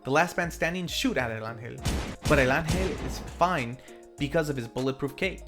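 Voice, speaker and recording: A man's voice: on the high side, normal speed. A man hosting a film review, narrating a scripted recap in a playful, tongue-in-cheek way with theatrical asides. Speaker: film review show host. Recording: faint music under the voice. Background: faint background music.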